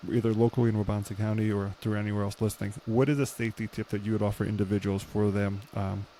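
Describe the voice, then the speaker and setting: A man hosting a podcast, asking questions in quick, agreeable casual chat. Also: fairly low pitch, level tone, warm tone, a little hiss or hum, poor audio. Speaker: podcast host.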